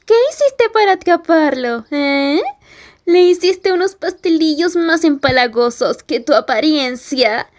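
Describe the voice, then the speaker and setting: A woman's voice: high voice, lively intonation, unhurried. A woman playing a tough, jealous, self-assured schoolgirl bully with a mocking, provocative delivery in an ASMR roleplay. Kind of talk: ASMR roleplay acting in Spanish.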